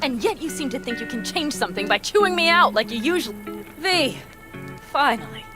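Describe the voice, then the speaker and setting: Headstrong young nomad woman, fiery, blunt, very animated pitch, video game, clear music behind. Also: brisk. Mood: exasperated. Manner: blunt, forceful phrasing.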